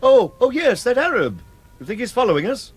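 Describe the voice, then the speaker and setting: A man acting in a radio drama as a weary, anxious gentleman with a formal, fretful manner. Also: very high-pitched, very animated, fairly quick, husky, exaggerated voice. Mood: uneasy. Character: weary, anxious gentleman trekking through desert heat.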